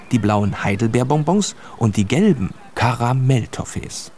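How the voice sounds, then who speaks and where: A man's voice: medium pitch, a little mellow, a little hiss or hum. A man narrating a scripted children's radio play as a storyteller.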